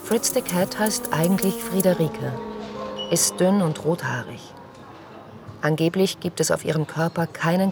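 An actress playing a wry youth worker, narrating in the first person in a dry, deadpan radio drama style. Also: female voice, low voice, creaky.

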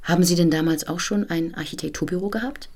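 An actress playing a polite, curious chambermaid in a radio play, with a naive, deferential, questioning manner. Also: female voice, on the low side, fast talker, darkish tone. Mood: naively curious. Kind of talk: naturalistic radio play dialogue.

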